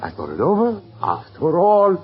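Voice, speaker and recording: An actor playing an oily, wheedling Italian opera boss with a flowery manner, in 1940s radio style. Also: male voice, sits high, wildly swooping pitch, moderate pace. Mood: smooth, cheerful. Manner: flowery, wheedling, falsely agreeable. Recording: muffled vintage radio recording.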